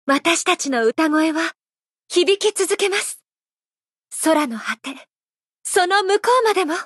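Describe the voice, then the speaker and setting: A woman voicing a gentle, elegant, playfully affectionate idol singer in a mobile game, speaking in a soft, measured, heartfelt way. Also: very high-pitched, animated, dry recording, studio quality, heavily acted. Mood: sincere, uplifted.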